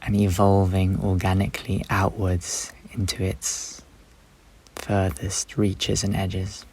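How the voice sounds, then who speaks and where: A man leading a guided meditation, speaking unhurriedly in short instructive phrases with long pauses between them. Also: deep voice, completely flat delivery, darkish tone.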